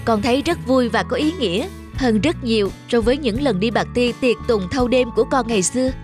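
A woman voicing an earnest, polite young man in an animated moral story. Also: fairly high pitch, a little fast, lightly acted. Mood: warm, earnest. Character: earnest young man, spendthrift son learning independence. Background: background music.

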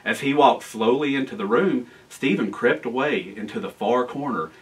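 An American man in his forties reading a children's storybook aloud in an animated, expressive way. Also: medium pitch, moderate pace, far from the mic, low-quality recording. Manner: animated, expressive reading with asides to young listeners. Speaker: guest storyteller, town official. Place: library room with bookshelves, indoors.

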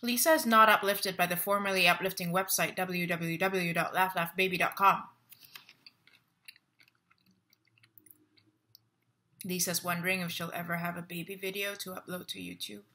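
A woman's voice: on the low side, sharp tone. A young woman acting a stage monologue as a lonely, wry, restless woman reading out her online status updates. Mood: wistful, resentful. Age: young adult. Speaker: actor in a staged play reading. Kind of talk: dramatic monologue read as social media status updates. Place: living room at home. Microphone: laptop webcam at close range.

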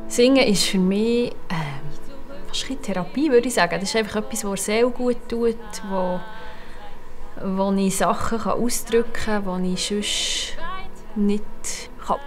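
A woman in her thirties with a Swiss German accent, speaking softly in a personal interview and searching for her words with small restarts. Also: mid-range voice, measured pace, soft background music. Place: indoor music room. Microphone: lapel.

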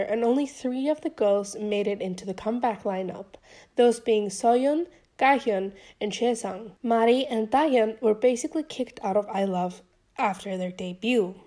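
A woman's voice: on the high side, mellow. A young woman YouTuber narrating a scripted commentary in a casual, chatty way, her voice still a bit off from being sick.

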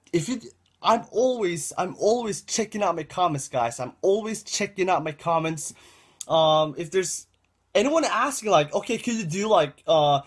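A young man giving casual gameplay commentary, repeating himself and talking to viewers as "guys," with a dry throat, recorded indoors on a webcam setup. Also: fairly high pitch. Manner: rambling, repeats phrases, addresses viewers as guys. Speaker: gaming channel host.

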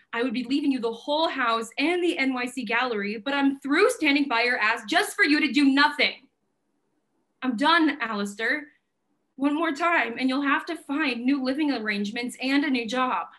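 An actress plays a high-voiced woman who runs a gallery with fire and conviction. She is assertive and bossy, leaning on her words as in a stage play read over a video call. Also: moderate pace, fairly bright tone. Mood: angry, exasperated. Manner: assertive, emphatic, declarative. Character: fiery, bossy, idealistic woman gallery owner. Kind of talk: virtual staged play reading. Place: plain indoor room, video call.

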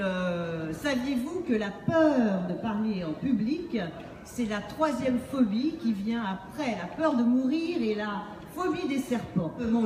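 A middle-aged woman with a slightly high voice gives a playful public presentation. She talks through a stage microphone, and the hall rings around her. There is faint background noise. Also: a little mellow, far from the mic. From Montreal, Canada. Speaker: author and acting-based speaking trainer. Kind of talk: humorous public book presentation. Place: on stage in a large book fair hall. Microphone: handheld microphone through PA, recorded by distant camera.

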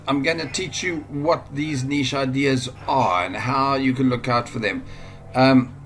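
Casting: South African man, mid-pitched, off-the-cuff screen-recorded tutorial, chatty and rambling. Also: a bit bright. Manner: rambling, informal, many fillers and restarts. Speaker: online course instructor. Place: at a computer, voice-over screen recording.

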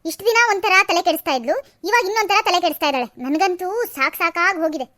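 A woman's voice: extremely high pitch, expressive, quick pace, no echo, studio quality, exaggerated voice. Woman voicing a nagging stern mother-in-law. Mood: scolding. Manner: nagging, sharp scolding. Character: stern scolding middle-aged mother-in-law. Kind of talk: Kannada audio drama.

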